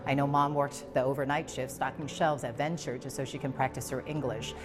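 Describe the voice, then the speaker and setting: A woman's voice: very deep voice, steady tone, fairly quick, music in the background. A woman from Taiwan whose first language is Mandarin, reading her own story in a reflective, scripted narration. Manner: reflective, read-aloud storytelling. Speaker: personal storyteller.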